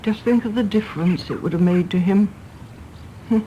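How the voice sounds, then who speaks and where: A woman's voice: mid-range voice, normal speed, dull audio, a little hiss or hum, close to an everyday voice. An actress playing an outspoken elderly English mother, emphatic and genteel, affectionate but exasperated, in British radio drama style. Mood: indignant, brisk. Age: older.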